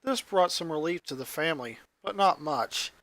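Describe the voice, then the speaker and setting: A man's voice: fairly high pitch, expressive, moderate pace, fairly bright tone, dry recording. A man reading a piece of fan fiction aloud as narrator, in scripted story style.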